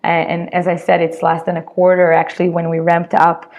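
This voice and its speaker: A woman with an Israeli accent speaks in a slightly low voice, answering interview questions about her company. Her talk is loose and conversational, dotted with "like" and self-corrections as she thinks aloud. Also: completely flat delivery, brisk, darkish tone, muffled recording. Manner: chatty, many "like" fillers and restarts. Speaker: startup co-founder and CEO.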